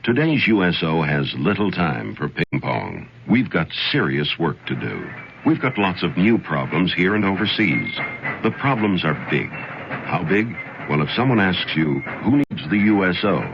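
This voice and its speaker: A man's voice: deep voice, big pitch swings, a little slow, creaky. A man reading a public service announcement in an earnest, direct way. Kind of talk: scripted ad read. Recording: dull old radio broadcast with noise.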